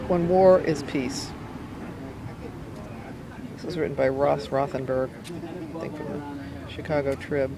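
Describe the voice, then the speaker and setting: A woman reads an essay aloud outdoors, with deliberate, emphatic phrasing and pauses. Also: low-pitched, crowd noise. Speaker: amateur reader. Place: outdoors by a cafe in town. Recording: noisy outdoor street recording, traffic sounds. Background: urban outdoor ambience, vehicles.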